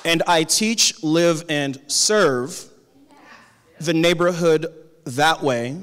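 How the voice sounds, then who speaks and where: A man delivers a keynote talk on a conference stage into a handheld mic. His voice sits a touch high, and his delivery is measured and conversational, sometimes rising into sermon-like emphasis. A long hall reverb trails his words. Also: background noise. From Tacoma, Washington. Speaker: keynote speaker, high school teacher. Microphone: handheld cordless mic.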